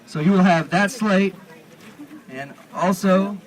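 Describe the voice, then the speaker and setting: A man with a slightly high voice chairs an outdoor assembly. He speaks in formal procedural phrases, deliberate and a little halting, as he addresses the gathering. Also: rough recording. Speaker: convention chair running a meeting. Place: outdoors at a parking lot gathering.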